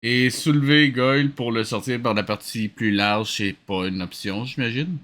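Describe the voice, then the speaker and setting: A man's voice: medium pitch, normal speed, heavy background noise. A man with a Quebec French accent giving casual, exasperated, sarcastic commentary with some swearing. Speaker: commentator mocking an old cartoon. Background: cartoon soundtrack music.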